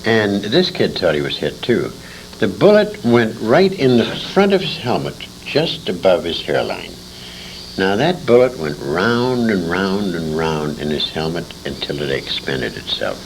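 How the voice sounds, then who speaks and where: A man's voice: sits low, big pitch swings, measured pace. An older American man telling anecdotes in casual conversation, salty and emphatic, repeating phrases for effect. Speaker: interviewee, war veteran and old-time radio performer. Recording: noisy informal interview recording.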